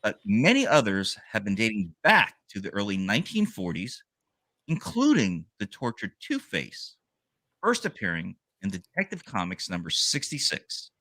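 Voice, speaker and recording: A man's voice: on the low side, huge pitch swings, normal speed, bright, forceful tone, no echo. A man co-hosting a comic book podcast, reading a scripted sales rundown and joking with his co-hosts. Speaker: podcast co-host.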